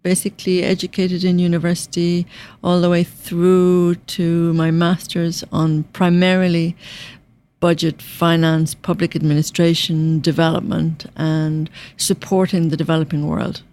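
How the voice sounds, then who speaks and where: A woman in her fifties from Ireland, with a softened Irish accent, answering interview questions in a measured way and listing items at length. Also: on the low side, flat delivery. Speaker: UN finance director guest.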